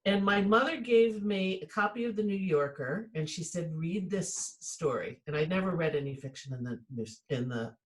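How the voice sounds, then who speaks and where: A woman who teaches writing, talking through a personal memory in a reflective, measured way. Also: on the low side, animated. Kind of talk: casual conversation.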